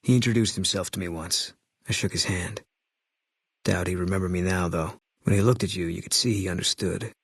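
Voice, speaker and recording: An actor playing a grim, haunted former soldier and sniper in a video game, speaking in terse, clipped, guarded lines. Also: male voice, sits low, a bit bright, husky, no room sound, full character voice. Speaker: companion character. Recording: dry studio sound.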